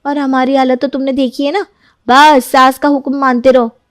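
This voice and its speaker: A woman's voice: high-pitched, level tone, normal speed, no echo, studio quality, close to an everyday voice. A woman voicing an outspoken young daughter-in-law in a cartoon, talking boldly. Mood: defiant. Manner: bold, defiant. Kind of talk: Hindi cartoon dubbing.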